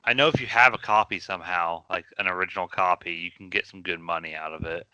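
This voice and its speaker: A young man with a very deep voice that still has a sharp, bright edge chats casually on a podcast. His tone is smooth and clear, recorded close in a dry room. He talks about games with matter-of-fact enthusiasm. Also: quick pace, no echo, low-quality recording. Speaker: podcast co-host. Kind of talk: casual chat.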